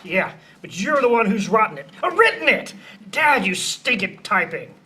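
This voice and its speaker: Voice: mid-range voice, big pitch swings, moderate pace, sharp tone. A performer playing a grumpy, tongue-tied police chief in a comic noir radio serial, scolding and stumbling through wordplay. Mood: exasperated. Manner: stammering over wordplay, scolding. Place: theater stage, performed live.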